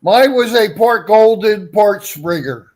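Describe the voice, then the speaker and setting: A man's voice: high voice, expressive, slow pace, a little mellow. A man chatting casually on a livestream as a guest, rambling and repeating himself, with blunt language and some swearing.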